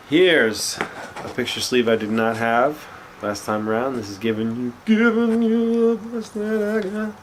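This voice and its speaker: A man's voice: medium pitch, a little hiss or hum. Stuffy-nosed man chatting casually. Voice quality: slightly nasal. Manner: relaxed, chatty, with frequent fillers. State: congested with a cold, sniffling. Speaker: record collector vlogger. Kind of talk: casual show-and-tell chat.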